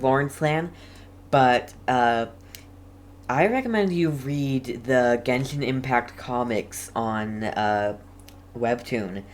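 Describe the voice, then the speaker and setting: A game streamer giving casual commentary in a rambling, hesitant way, with halting phrases. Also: mid-range voice, slight background noise.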